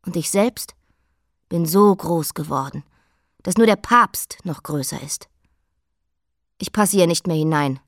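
A woman's voice: on the low side, a little slow, pauses often, dry recording, studio quality. An actress playing a proud, imperious, cutting queen in a radio drama, haughty and declamatory. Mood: proud, musing.

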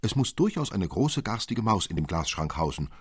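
A man voicing a brisk, lively, exasperated mother in a storybook, exclaiming emphatically. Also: fairly low pitch, breathy edge, no echo, clean studio sound.

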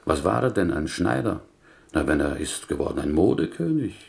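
Pious small-town butcher from Poland, low voice, creaky, talkative, gentle, wily, inverted syntax, radio play. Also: male voice, sing-song, moderate pace, heavily acted. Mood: musing, anecdotal. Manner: inverted Yiddish-style syntax, musing, rambling storyteller. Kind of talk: German radio play acting.

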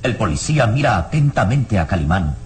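A man's voice: on the low side, very animated, brisk, a little hiss or hum. A man narrating a radio drama serial in a dramatic, scripted style.

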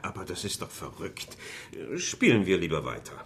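A very low male voice with plenty of melody, a cautious, genteel gentleman of an English club. It is radio drama acting. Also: big pitch swings, moderate pace, slightly rough, full character voice. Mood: alarmed. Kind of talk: German radio play acting.

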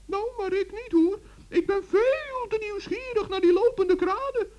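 A man playing a small, excitable old forest gnome in a children's radio play, speaking eagerly and earnestly with little stammers. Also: extremely high pitch, unhurried, muffled recording. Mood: eager, excited. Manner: eager, stammering, gently scolding.

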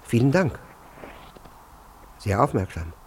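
An actor in a radio play playing a nervous, pompous senior civil servant who stammers and repeats himself in formal speech. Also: male voice, mid-range voice, very animated, takes it slowly, slight background noise. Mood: nervously polite. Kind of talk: German radio play acting.

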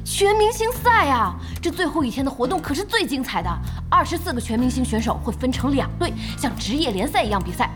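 An actress playing an excitable young female gaming fan in an audio drama, expressive and exclamatory. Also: high voice, fairly quick, hardly any pauses. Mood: excited. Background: background music.

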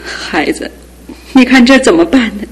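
A woman's voice: animated, normal speed, husky, rough recording. A weary older mother. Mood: worried. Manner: tired, sighing and low-voiced. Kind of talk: radio drama acting. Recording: radio drama with music behind.

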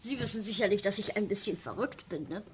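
A woman's voice: medium pitch, fast talker, crowd noise, lightly acted. A woman playing a slightly mad, villainous police inspector in a comic radio play, offhand and mock-polite, on a noisy tape. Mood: smugly menacing. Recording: noisy homemade tape with clicks.

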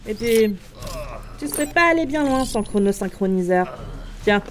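A woman playing a brisk, dismissive veteran time-police agent in a French audio drama. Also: medium pitch, lively intonation, lightly acted. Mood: bossy, dismissive. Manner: bossy, dismissive, mocking. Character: brisk, seasoned female time-police agent bossing a rookie. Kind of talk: French audio fiction acting.

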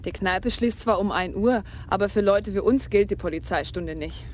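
A woman's voice: mid-range voice, normal speed, a little mellow, slight background noise, close to an everyday voice. An actress playing a breezy, streetwise young woman who talks in a casual, knowing way.

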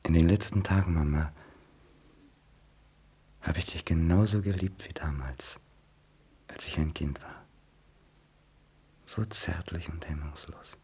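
A man's voice: deep voice, lively intonation, normal speed, gravelly, long pauses, husky, exaggerated voice. An actor playing a bitter, jealous young male writer in a stage drama, brooding and then ranting. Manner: brooding whispers flaring into shouting.

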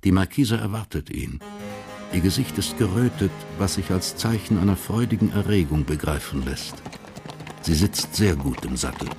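An actor as the detached narrator of a historical crime radio drama, measured and factual. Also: male voice, sits low, over music.

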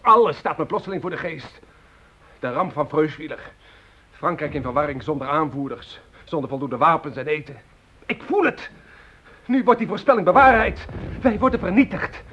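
An actor playing a distraught, despairing young French soldier in a Dutch radio drama, pleading and fatalistic. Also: male voice, a little high, moderate pace, bright, forceful tone. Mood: bitter despair. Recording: dull, hissy old radio play recording.

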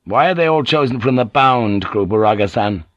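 A man voicing a commanding English sea captain who barks curt, sharp orders. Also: medium pitch, animated, normal speed, no echo, highs cut off, close to an everyday voice.

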